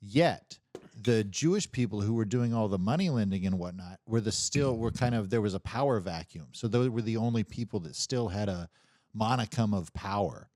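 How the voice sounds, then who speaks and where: Male podcast co-host, lowish voice, close dry sound, loose halting explanations in slangy casual talk. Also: darkish tone, no echo. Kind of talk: casual chat.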